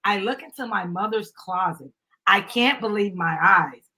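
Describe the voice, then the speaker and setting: A woman's voice: medium pitch, animated, normal speed, bright, forceful tone, slightly rough. A woman doing a live dramatic reading of a children's book as host, with chatty, upbeat asides and encouraging prompts to a small child.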